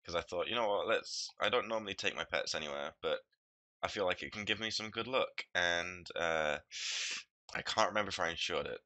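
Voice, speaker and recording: Low, dry voice of an ill-sounding man. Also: fairly quick, sharp tone, no room sound. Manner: rambling, offhand, with frequent restarts and swearing. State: a little ill. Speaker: game video commentator. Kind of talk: casual gameplay commentary.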